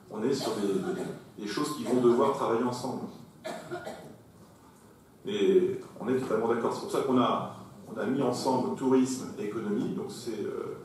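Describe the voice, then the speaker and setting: A man chairing a council meeting, explaining points formally in a measured, emphatic way. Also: mid-range voice, a little mellow. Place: large echoey meeting room. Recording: very echoey hall, distant mic, faint noise.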